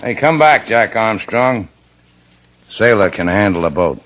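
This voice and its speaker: An actor playing a tough, laconic, sardonic boat skipper with an American accent, in the style of old radio drama. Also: male voice, on the low side, lively intonation, measured pace, a bit bright, rough recording. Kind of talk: 1940s-50s American radio drama acting.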